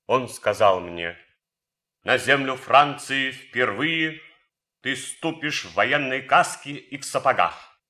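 Idealistic officer-composer for radio play: bright, intense tone, unhurried, earnest theatrical delivery. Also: male voice, mid-range voice, animated. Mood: earnest, reflective. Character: idealistic, earnest German officer and composer who loves France. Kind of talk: radio drama monologue.

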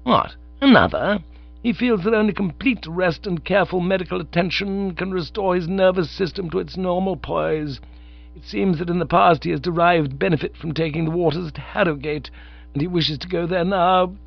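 A man's voice: sits high, moderate pace, gravelly, pitched above the performer's own voice. A man voicing a stern, pompous middle-aged aunt who lectures loftily and stalls over delicate words. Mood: imperious. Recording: slightly dull lo-fi recording.

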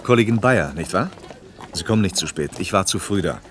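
Deep, lively, courteous man. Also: a little fast, a little hiss or hum. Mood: courteous, businesslike. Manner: polite, decisive. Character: courteous, matter-of-fact male colleague offering help. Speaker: actor. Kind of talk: German radio play acting.

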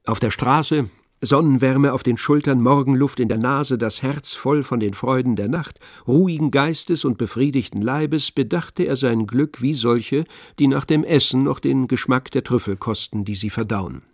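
A man's voice: medium pitch, moderate pace, dry recording, clean studio sound. A man narrating a literary German radio drama as a scripted storyteller. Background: music.

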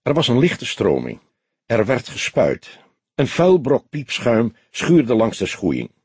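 A man's voice: lowish voice, darkish tone, breathy edge, dry recording. A man narrating a scripted crime story as an audiobook reader.

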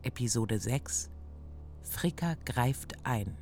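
A man's voice: medium pitch, lively intonation, slow, vocal fry, over loud music. A man reading scripted titles as the announcer of a radio drama series.

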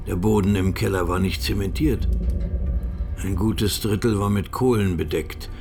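A man's voice: low voice, fairly even intonation, normal speed, gravelly, music in the background. A man acting as the grave narrator of a crime story in a scripted radio drama. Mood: grave, measured.

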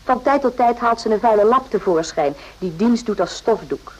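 An actress playing a calm, observant army doctor in a radio drama, speaking in a measured, husky voice. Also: female voice, a little high, poor audio. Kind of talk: radio drama narration and dialogue.

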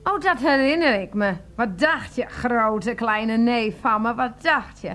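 A woman's voice: on the high side, unhurried, a bit bright. An actress in a radio play, playing a middle-aged aunt who dotes on her nephew and fusses and scolds with many exclamations. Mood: doting, chatty. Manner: exclamatory, fussing, chiding.

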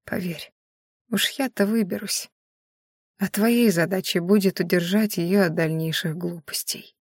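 A dying young heroine of a fantasy audiobook, acted by a woman narrator, speaking faintly and hoarsely. She is weary but quietly commanding, and the recording is dry. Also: medium pitch, measured pace, no room sound. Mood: faint confession.

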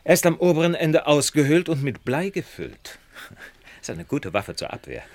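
An actor playing a shrewd, self-assured detective in a radio drama, speaking in a knowing, deliberate way. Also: male voice, on the high side, sing-song. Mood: confident deduction. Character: famous male detective, shrewd, self-assured, gently superior. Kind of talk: German radio drama acting.

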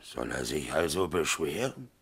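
A gruff, indignant minister in satirical radio drama. The voice is extremely deep and husky, drawn out slowly with scornful weight. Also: male voice, very animated, a bit bright, full character voice.

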